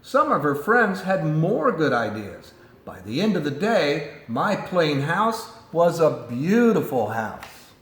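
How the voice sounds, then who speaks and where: A man reading a children's picture book aloud in an animated storytelling style. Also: on the high side, slow, reverberant. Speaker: storybook reader.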